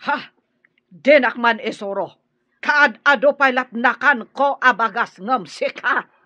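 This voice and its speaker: An actress playing a bitter, hard-nosed warrior clan mother in an Ilocano radio drama, scolding and forceful. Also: fairly high pitch, slow pace, dry recording, highs cut off, full character voice.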